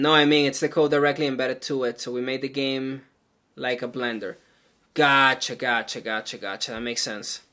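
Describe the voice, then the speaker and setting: Bright, chatty man coding live. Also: mid-range voice. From Venezuela. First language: Spanish. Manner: chatty and loose, full of "like" and "um", thinks aloud while coding. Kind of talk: casual live coding stream, chatting with viewers.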